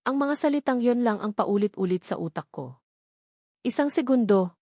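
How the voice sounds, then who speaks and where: A woman narrates a first-person romantic drama from a script, dramatically, in short halting phrases. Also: on the high side, lively intonation, darkish tone, dry recording, studio quality. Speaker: story narrator.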